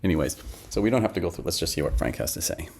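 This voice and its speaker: A middle-aged man giving a casual product demo, halting and thinking aloud as he talks at his desk. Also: low voice, animated, gravelly, darkish tone, a little hiss or hum. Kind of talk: casual screen-share demo talk. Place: small indoor room at home.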